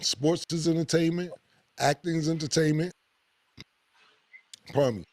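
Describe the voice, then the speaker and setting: A man, hosting a podcast with blunt, animated opinions and a lot of swearing. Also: moderate pace, no room sound. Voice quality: deep voice. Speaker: podcast host. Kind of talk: casual opinionated podcast talk.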